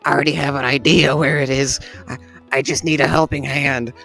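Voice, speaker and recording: A man's voice: medium pitch, level tone, a little fast, vocal fry, bright, forceful tone, soft background music. A man voicing a nervous, deferential flying henchman who pleads with his boss in an amateur cartoon dub. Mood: nervous, pleading. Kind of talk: amateur comic dub character acting.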